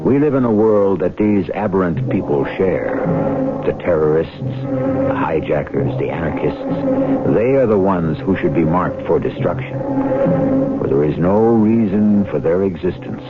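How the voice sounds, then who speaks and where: A man's voice: sits low, lively intonation, measured pace. A man with an American accent hosting a radio drama, narrating from a script in a solemn, formal, ominous manner. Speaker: host. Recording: muffled radio broadcast, loud music behind.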